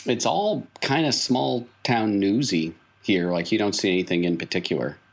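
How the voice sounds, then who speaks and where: A man's voice: on the low side, normal speed, vocal fry. A man narrating a tabletop role-playing game as the game master.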